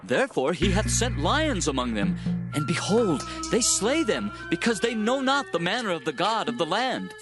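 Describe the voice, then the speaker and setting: Anxious high-voiced male messenger. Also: sing-song, moderate pace. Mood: anxious, urgent. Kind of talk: dramatized scripture audio. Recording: music under the voice.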